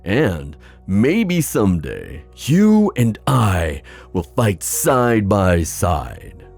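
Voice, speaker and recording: A man playing a proud, protective sea god and father in a fantasy ASMR roleplay, musing haltingly with sighs. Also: lowish voice, huge pitch swings, gravelly, a little mellow, faint music behind, exaggerated voice. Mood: gentle, reassuring.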